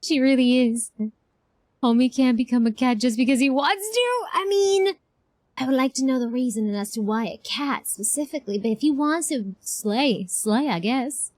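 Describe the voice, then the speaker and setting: High-voiced woman livestreamer, dry sound. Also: moderate pace, no echo. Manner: informal, slangy, expressive. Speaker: game streamer. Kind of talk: casual livestream reaction chat.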